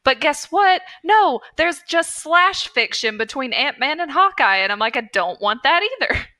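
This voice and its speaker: Bright-voiced woman chatting on a podcast. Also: sits high, lively intonation, normal speed. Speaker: podcast co-host. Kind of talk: casual chat.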